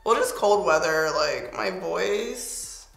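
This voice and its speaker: A young woman talks her way through a makeup routine in a loose, playful way, her voice slightly hoarse and squeaky. She sits close to the camera in a small home studio. The sound carries a noticeable room echo. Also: expressive, slow, fairly bright tone. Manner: chatty, playful, talks while applying makeup. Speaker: beauty vlogger. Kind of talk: casual makeup tutorial chat. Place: home studio, pink backdrop.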